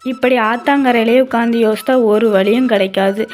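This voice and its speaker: A woman playing an exasperated mother of two girls in an animated moral story, speaking in an emphatic, motherly way. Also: fairly high pitch, fairly quick, faint music behind, lightly acted. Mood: worried. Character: exasperated, scolding mother of two young daughters.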